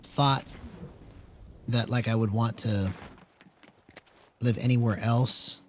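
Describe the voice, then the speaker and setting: An American man in his forties from California chatting casually as he streams a game, haltingly thinking aloud and repeating phrases. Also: medium pitch, fairly even intonation, fairly bright tone. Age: 40s. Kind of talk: casual live gameplay chat. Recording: game gunfire noise over the voice. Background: video game gunshots.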